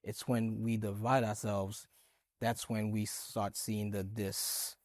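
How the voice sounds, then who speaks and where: A man's voice: on the low side, takes it slowly, no echo. Earnest man from Haiti, dry sound. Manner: earnest, animated storytelling, many "it's like" fillers. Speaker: guest. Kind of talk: conversational discussion.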